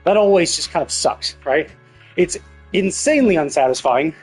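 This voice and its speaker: A man streams games with a fairly high, husky voice. He talks casually but emphatically, opinionated and quick to swear. Faint music plays behind him. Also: expressive, a little mellow. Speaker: game streamer. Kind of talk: casual gaming commentary rant.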